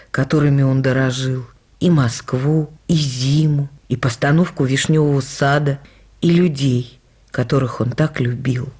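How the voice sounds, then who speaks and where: An actress playing a writer's widow, fondly reading her memoir for radio in a measured, reflective storytelling manner. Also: low voice, a little mellow. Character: writer's widowed actress wife fondly recalling their life.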